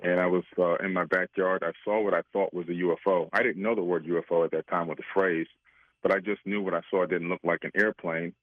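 A man in his fifties talks on a radio call-in, chatty and rambling, laughing easily between thoughts. His voice is low and comes through a tinny phone line. The sound is dry. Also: steady tone, quick pace, no room sound. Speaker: regular guest, researcher.

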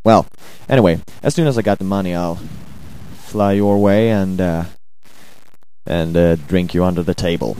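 Rambling hungover Swedish guy, low and mellow. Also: moderate pace, smooth, low-quality recording. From Sweden. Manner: rambling, crude, deadpan, hesitant. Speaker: amateur audio ranter. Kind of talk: rambling crude home-recorded monologue. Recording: home recording with buzz and music.

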